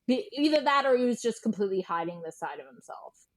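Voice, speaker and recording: An American woman chatting informally as an interview guest, animated and peppering her talk with "like" and quoted dialogue. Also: fairly high pitch, fast. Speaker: podcast guest, journalist and historian. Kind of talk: casual interview chat.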